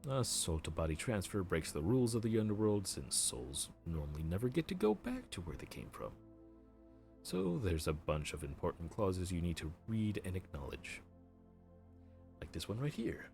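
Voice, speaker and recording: A man voicing a helpful grim reaper clerk in an audio roleplay, polite and bureaucratic as he walks through the paperwork. The voice sits very low but moves up and down a lot. Soft music plays faintly underneath. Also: sing-song, moderate pace, gravelly, heavily acted. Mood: courteous. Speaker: underworld front-desk worker.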